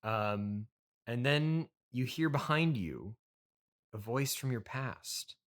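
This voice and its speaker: A man's voice: medium pitch, lively intonation, slow. Hesitant male comedy podcast host. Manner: hesitant, stammering, placating. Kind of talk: improvised comedy interview.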